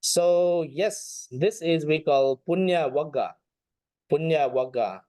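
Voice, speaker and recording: A man's voice: on the high side, lively intonation, slow pace, mellow, no echo, rough recording. A man teaching an informal online class as a Buddhist monk, speaking hesitantly and often repeating phrases. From Nepal.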